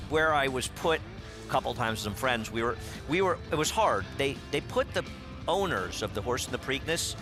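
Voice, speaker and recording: A racing analyst breaks down races and trades dry jokes in the studio. His voice is mid-pitched, with music clearly playing behind him. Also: expressive, moderate pace. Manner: chatty, dry humor. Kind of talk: race analysis and studio banter.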